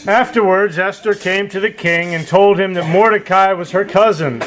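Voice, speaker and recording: A man's voice: high voice, moderate pace, a bit bright, poor audio. A man telling a story as narrator of an amateur puppet show. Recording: faint background noise.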